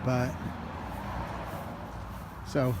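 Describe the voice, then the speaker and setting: A middle-aged man thinking aloud as he walks through a house at an open house, filming with a handheld camera. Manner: musing, halting, thinking aloud. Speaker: house-hunting vlogger. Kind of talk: casual walkthrough commentary. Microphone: handheld camera mic. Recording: echoey rooms, background noise.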